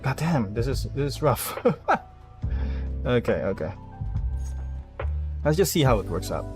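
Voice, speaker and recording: A man's voice: mid-range voice, big pitch swings, measured pace, music in the background. A man giving casual gameplay commentary, thinking aloud and muttering half-finished plans with some mild swearing. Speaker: video game streamer.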